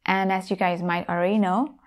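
A woman's voice: medium pitch, fairly even intonation, brisk. A woman in her thirties from Thailand, speaking English with a Thai accent, talking casually and directly to viewers from a room at home on a lapel mic. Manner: friendly, direct address to viewers. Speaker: YouTuber and language teacher. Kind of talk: casual talk to camera. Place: home room with guitars on the wall.

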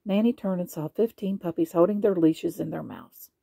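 A woman reading children's bedtime stories aloud in an expressive way. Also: lowish voice, moderate pace, creaky, husky, no room sound, clean studio sound. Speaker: storybook reader.

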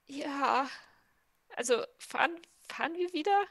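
High-pitched, hesitant young woman. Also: animated, unhurried, fairly bright tone, no echo. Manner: hesitant, stammering. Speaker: tabletop player. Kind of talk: casual in-character roleplay. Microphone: headset on video call.

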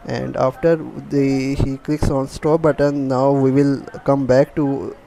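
A man instructing step by step in a screen tutorial, thinking aloud in halting, pieced-together phrases. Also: mid-range voice, level tone, vocal fry, warm tone.

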